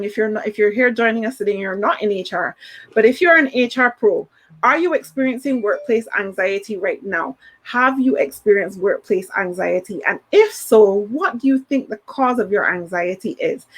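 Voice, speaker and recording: A woman's voice: on the high side, fairly bright tone. A woman hosting a live podcast, talking straight to the audience in an upbeat way. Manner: upbeat, addresses the audience directly. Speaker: podcast host.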